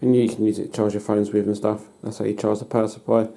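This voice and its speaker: British man, mellow voice, casual demo. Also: lowish voice, flat delivery, fast talker, vocal fry. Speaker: hobbyist presenter. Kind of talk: casual hands-on demonstration. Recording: noticeable background noise.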